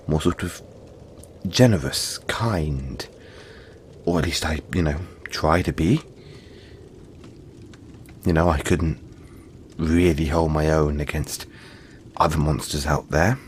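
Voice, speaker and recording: A big furry yeti played by a man talks in a deep voice over faint background noise. He is soft-hearted and apologetic, soothing the listener with halting, playful chat in an intimate audio roleplay. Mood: gentle, modest. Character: big cuddly male yeti monster, friendly, apologetic, gentle.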